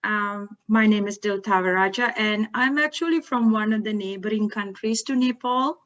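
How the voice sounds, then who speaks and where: A woman's voice: mid-range voice, normal speed, fairly bright tone. Woman from sri lanka giving a talk over video call, friendly, slightly muffled audio. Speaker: workshop speaker, researcher. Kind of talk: online presentation.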